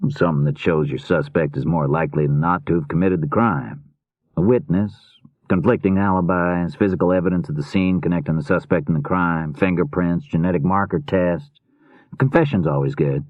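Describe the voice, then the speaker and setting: A man's voice: low-pitched, steady tone, moderate pace, no echo, narrow, tinny audio. A man voicing a patient, easygoing middle-aged police detective who explains things matter-of-factly in an audiobook. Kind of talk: audiobook character dialogue.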